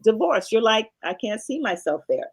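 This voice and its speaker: A woman's voice: fairly high pitch, very animated, normal speed, slightly rough. Emphatic middle-aged woman coaching. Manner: emphatic teacherly explaining with repetition. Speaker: speaking coach and guest. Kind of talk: podcast interview conversation.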